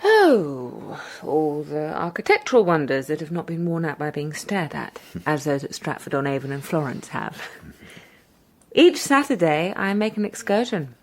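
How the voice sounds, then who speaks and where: A woman's voice: low-pitched, sing-song, normal speed, exaggerated voice. An actress playing a cultured, wry young woman teacher in a radio drama, articulate and dryly aloof. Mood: arch, amused. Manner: arch, dry, articulate.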